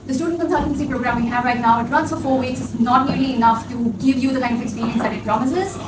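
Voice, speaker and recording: A woman student giving a campaign speech as an election candidate, hesitant and full of fillers. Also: a little high. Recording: echoey room, far mic, background noise.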